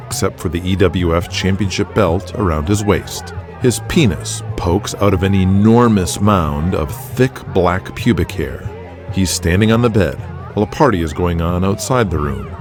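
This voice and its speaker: Deep-voiced male drama narrator. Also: lively intonation, normal speed. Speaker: narrator and host. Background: music.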